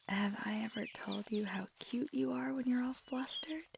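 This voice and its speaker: A woman playing a feisty, affectionate magical girlfriend in an intimate ASMR roleplay, soft-spoken and halting, sometimes slipping into a whisper. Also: fairly high pitch, creaky, background noise. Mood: playful teasing.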